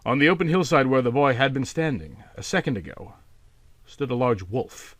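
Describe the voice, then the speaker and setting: A man narrates a literary short story in an audiobook style. His voice sits at a medium pitch, recorded very dry with no room around it. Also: very animated, moderate pace, fairly bright tone, no echo.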